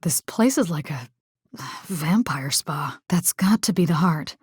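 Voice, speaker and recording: A woman's voice: sits low, animated, measured pace, slightly rough, no room sound, clean studio sound, heavily acted. A woman playing a spirited, caring young combat engineer in a video game, with a Latin American accent and informal, sassy talk that slips Spanish into English. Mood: wary, focused.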